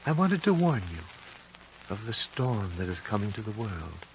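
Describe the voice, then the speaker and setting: A man's voice: lowish voice, sing-song. Ancient solemn holy man, muffled old recording. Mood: grave, prophetic. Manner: solemn, fatherly, measured. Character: ancient wise dying High Lama, gentle and prophetic. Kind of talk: 1950s radio drama acting. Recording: dull, noisy old radio recording.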